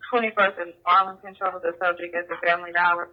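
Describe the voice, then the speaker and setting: A man's voice: high-pitched, level tone, fast. A man giving terse, clipped traffic over police radio. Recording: dull, lo-fi police scanner.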